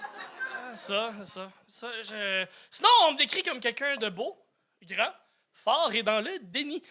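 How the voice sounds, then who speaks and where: A man's voice: very high voice, sing-song, slow pace, noisy background. A man, doing a self-mocking stand-up set with stammered restarts and swearing. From New Brunswick, Canada. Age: 30s. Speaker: stand-up comedian.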